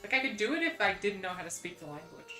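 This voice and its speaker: A woman's voice: mid-range voice, expressive, fast, sharp tone, soft background music. Rambling young Midwestern woman streamer. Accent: General American (Midwestern). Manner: rambling, many "like"s and restarts, self-deprecating. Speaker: VTuber streamer. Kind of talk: casual stream chat.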